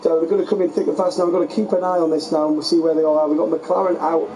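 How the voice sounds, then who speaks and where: A man with a fairly high voice gives a lively, chatty play-by-play of a sim race. His voice is getting worn and hoarse, and steady noise sits under a home recording. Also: racing, rough recording. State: losing his voice. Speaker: lead commentator. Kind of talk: sim racing commentary.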